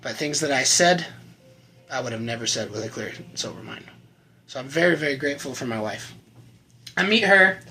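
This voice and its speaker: A man in his thirties giving a personal testimony to camera, earnest and conversational, often trailing off quietly at the ends of lines. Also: on the high side. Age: 30s. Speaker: channel host sharing his recovery story.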